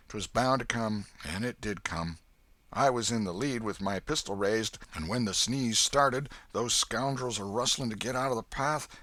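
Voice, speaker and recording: A kindly old father figure, acted by a male audiobook reader. He is hearty, folksy and emphatic, his pitch swinging widely. The sound is dry and close. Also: bright, forceful tone, dry recording. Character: hearty, kindly old Welshman, hospitable father of grown sons. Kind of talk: audiobook character voice.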